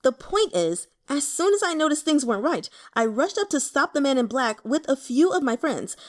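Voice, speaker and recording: A woman plays a naive, easily flustered young man in an audiobook. His voice sits very high and swoops around as he stammers and complains with melodramatic indignation. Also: big pitch swings, normal speed. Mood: indignant. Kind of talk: audiobook character voice.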